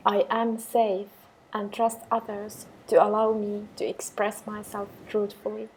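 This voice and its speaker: A woman meditation teacher guiding affirmations in a calm, measured way with pauses between phrases. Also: mid-range voice, slight background noise, low-quality recording. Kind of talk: guided meditation with repeated affirmations.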